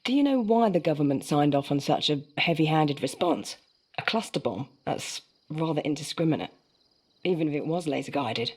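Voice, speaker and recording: A woman's voice: deep voice, lively intonation, normal speed, a little mellow, husky. An actress playing a female interviewer who asks probing, businesslike questions in a video game.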